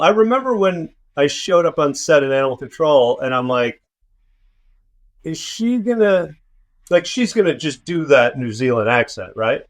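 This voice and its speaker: Upbeat male co-host with a higher voice. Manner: enthusiastic, retells his own exchanges. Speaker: podcast co-host. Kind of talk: casual interview chat.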